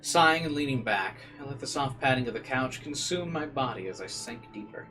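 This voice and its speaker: A man's voice: medium pitch, expressive, brisk, bright, forceful tone, soft background music. A man reading visual novel narration aloud as a streamer, dropping into informal side comments and occasionally stumbling over words.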